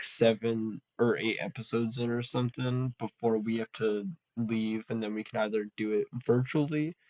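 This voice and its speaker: A man's voice: lowish voice, darkish tone, no echo. A young man in a college dorm room, rambling in a casual solo chat with a slightly nasal voice and lots of ums. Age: young adult. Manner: rambling, full of ums and restarts. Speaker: vlogger. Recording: dull lo-fi dry recording.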